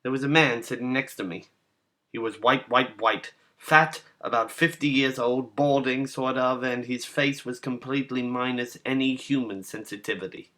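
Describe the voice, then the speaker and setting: A man narrating a short story as a literary reading, in measured, deliberate phrases. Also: medium pitch, fairly even intonation, bright, forceful tone. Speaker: narrator, reader.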